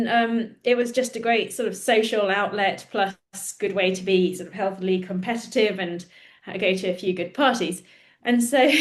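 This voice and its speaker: A woman in her thirties, a podcast guest chatting casually, laughing often and self-deprecating. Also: medium pitch. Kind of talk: casual interview chat.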